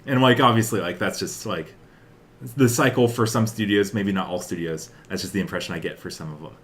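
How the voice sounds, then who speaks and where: A man's voice: deep voice, brisk, fairly bright tone. A young man hosting a podcast, chatting casually and rambling about with lots of filler words. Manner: rambling, peppered with "like" and "uh". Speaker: podcast host. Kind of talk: casual chat about video game news.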